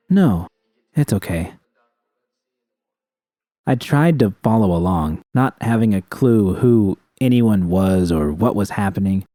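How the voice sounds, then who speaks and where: A man's voice: lowish voice, mellow, no room sound, clean studio sound. A man reading scripted first-person fiction as an audiobook narrator.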